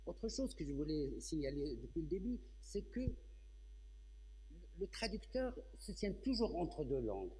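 A man speaking as a translator on a round-table panel, in measured, deliberate statements. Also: fairly high pitch, sing-song, warm tone, low-quality recording.

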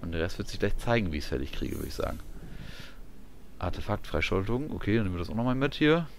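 Low-voiced man commentating a game. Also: sing-song, fast talker. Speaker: gamer commentating his playthrough. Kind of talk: casual gameplay commentary. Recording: clear background noise, game ambience.

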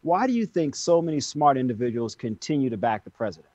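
A man's voice: medium pitch, very animated, quick pace, darkish tone, dry recording. An American man in his thirties hosting a broadcast interview, with slightly dull sound. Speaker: news-style host.

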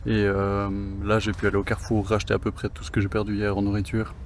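A man's voice: fairly low pitch, fast, a little hiss or hum. Casual man vlogging outdoors by a river. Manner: relaxed and chatty, thinks aloud, swears casually, many fillers. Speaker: solo kayak traveller vlogging his trip. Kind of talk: casual vlog narration to camera.

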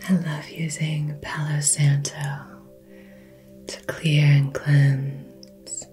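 A woman softly whispering a soothing ASMR Reiki session, speaking gently and personally to the listener as a healer. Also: sits low, little pitch movement, extremely slow, faint music behind. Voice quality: breathy whisper. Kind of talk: soft-spoken ASMR healing session.

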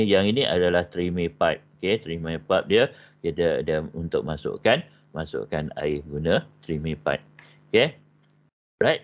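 An older man with a Malaysian Malay accent giving an online lecture, peppering his talk with "okey" and English technical terms. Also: sits low. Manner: frequent "okey" fillers, mixes in English terms. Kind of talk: online lecture on basement construction. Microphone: webcam on a video call. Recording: dull video-call audio.

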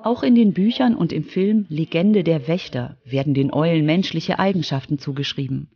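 A woman's voice: sits low, normal speed, slightly rough, highs cut off. A woman reporter reading scripted narration for a children's radio feature.

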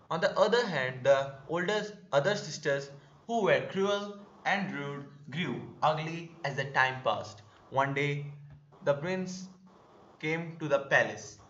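Young male narrator, Indian accent, slightly high voice, reading a script over a roomy, dull call. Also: fairly bright tone. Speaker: narrator of student skit. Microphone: video call.

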